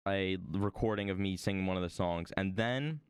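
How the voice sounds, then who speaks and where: A man streaming from home while he draws, chatting about his desk microphone in a rambling, self-deprecating way, his voice a little hoarse. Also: normal speed, no echo. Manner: rambling, self-deprecating, lively swings in pitch. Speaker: art streamer. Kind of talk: casual stream chat.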